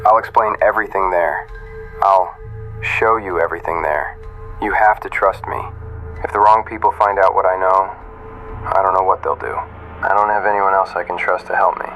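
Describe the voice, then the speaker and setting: A troubled man with a deep voice records a secret message in a noir audio drama. He is grave, halting and earnest, with quiet music underneath. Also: fairly even intonation, low-quality recording. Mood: grave, pleading. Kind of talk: scripted audio drama monologue. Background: background music.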